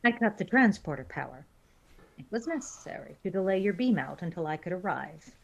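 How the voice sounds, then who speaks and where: A woman playing a female alien science officer in a fan audio drama, unemotional, formal and precise, with deadpan delivery. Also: lowish voice, fairly quick, vocal fry, muffled recording. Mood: stern, composed.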